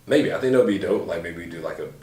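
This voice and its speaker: A man's voice: sits low, animated, rapid-fire, a little mellow. A man co-hosting a podcast, talking casually in a laid-back, slangy way with lots of fillers. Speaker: podcast co-host. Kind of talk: casual chat. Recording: roomy, a bit far from mic.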